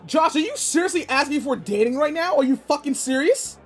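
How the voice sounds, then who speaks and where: A man's voice: extremely high pitch, expressive, fairly quick, soft background music. A man playing a brusque, anxious young student bully who secretly cares, in an audio roleplay drama with emotional delivery. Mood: flustered, frantic.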